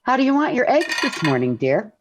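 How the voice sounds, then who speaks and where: A woman's voice: on the high side, very animated, a little fast, background noise. Lilting, motherly 1940s radio housewife. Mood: soothing. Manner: placating, motherly. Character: patient, soothing housewife and mother. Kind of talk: 1940s radio sitcom acting. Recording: dull 1940s radio recording.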